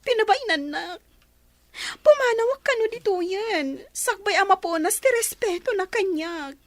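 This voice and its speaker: Woman acting high-pitched, sobbing lovesick daughter. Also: expressive, normal speed, dry recording. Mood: wailing, hurt. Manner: tearful, wailing, dramatic. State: crying. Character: lovesick, wounded daughter wailing to her mother. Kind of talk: melodramatic radio drama acting.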